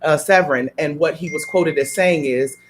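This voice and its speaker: A man talking on a radio show with a voice pitched a little high. He speaks like a preacher, building his points through strings of repeated questions. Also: moderate pace, slight background noise. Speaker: guest. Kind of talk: radio talk show conversation.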